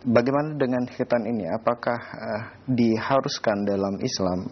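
A man's voice: medium pitch, moderate pace. A man hosting a religious program, politely and formally reading out listeners' questions. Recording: dull lo-fi audio with background noise.

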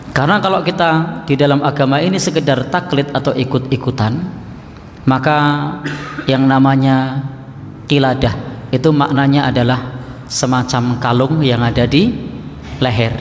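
Man preaching in an echoey hall. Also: mid-range voice. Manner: relaxed, joking with audience, pauses often. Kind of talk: Islamic lecture. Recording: echoey hall recording, faint hiss.

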